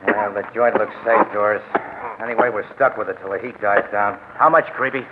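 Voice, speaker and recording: On a noisy, thin old radio recording, a man plays a hard-boiled bank robber on the run. His lowish voice is terse, suspicious and menacing, in the manner of 1940s crime drama. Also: fairly quick, lightly acted. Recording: narrow old-time radio recording with noise.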